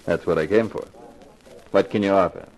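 A man's voice: sits low, normal speed, darkish tone, close to an everyday voice. Man acting a wry hard-boiled radio detective. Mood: cool, wisecracking. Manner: wry, slangy, tough. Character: hard-boiled wisecracking male private detective narrating his case. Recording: noisy vintage radio recording.

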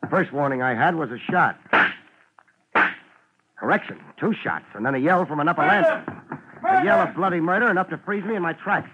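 An actor playing a wisecracking, world-weary private investigator, narrating and trading lines in a dry, laid-back deadpan in a hard-boiled radio drama. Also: male voice, on the high side, brisk, pauses often, natural voice. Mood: wry, laid-back. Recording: muffled, noisy 1950s radio broadcast.

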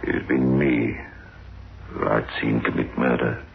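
An actor playing a haunted, obsessive murderer in a 1960s radio horror drama. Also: male voice, very deep voice, flat delivery, a little slow, creaky, full character voice. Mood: haunted, confessional. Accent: South African. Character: murderous haunted man confessing, menacing and obsessive. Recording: dull, noisy old radio recording.

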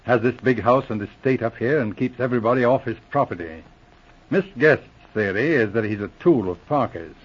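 An actor playing a calm, methodical senior police inspector in 1940s radio drama, explaining things with measured authority. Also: male voice, mid-range voice, husky, lightly acted. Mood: methodical, confiding. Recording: dull, muffled old radio recording.

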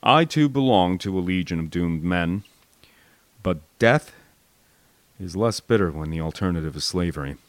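An American man reads a memoir aloud as an audiobook narrator. His voice sits fairly low and moves expressively in pitch, in a dry, close studio sound. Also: expressive, moderate pace, pauses often, no room sound. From United States.

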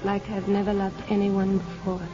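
A woman's voice: medium pitch, monotone, moderate pace, music in the background, lightly acted. An actress in a 1950s radio drama playing an anxious, tender young woman in love, speaking earnestly and hesitantly. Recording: dull 1950s radio broadcast recording.

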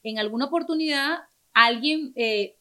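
A Venezuelan woman hosting a relaxed chat, with a high, bright and forceful voice. She talks in a lively, expressive way and laughs easily. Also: moderate pace. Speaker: host. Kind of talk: casual chat.

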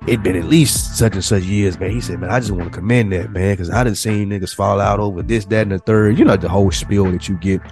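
A man's voice: on the low side, quick pace, hardly any pauses. A man talks casually as a podcast co-host. He tells stories in loose slang, voicing the other side of conversations as he goes. Faint music plays behind him. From Nashville, United States. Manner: animated slangy storytelling, acting out what others said. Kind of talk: casual chat, storytelling.

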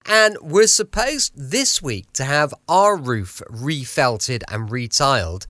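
A man's voice: medium pitch, expressive, slow, bright, forceful tone, dry recording, studio quality. A man with an English accent hosting a late-night podcast in a chatty, effusive, rambling way. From United Kingdom. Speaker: podcast host. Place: close dry home recording.

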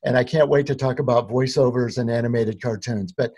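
A man's voice: mid-range voice, fairly even intonation, a little fast, darkish tone. A man hosting a podcast interview, upbeat and promotional in tone. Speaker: podcast host.

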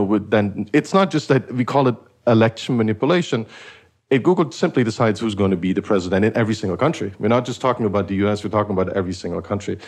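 A man's voice: medium pitch, slightly rough. A man from Eastern Germany hosting a podcast, talking things through in a thoughtful, rambling way with frequent restarts. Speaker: podcast host. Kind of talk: casual conversational podcast.

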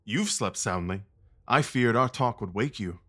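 A man playing a brooding, guarded Victorian gentleman detective in an audio drama, speaking in a clipped, formal, world-weary way. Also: lowish voice, animated, unhurried, heavily acted. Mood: troubled, restless.

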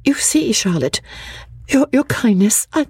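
Reader doing a young woman, high, husky, stammering, nervous. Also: very animated, a little slow, darkish tone, no echo, heavily acted. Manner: stammering, hesitant.

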